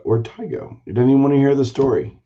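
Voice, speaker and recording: A man hosting a casual card-collecting chat show, telling a long story in a rambling, animated way with a hoarse, raspy voice. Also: medium pitch, fast talker, dull audio. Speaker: podcast host, baseball card collector.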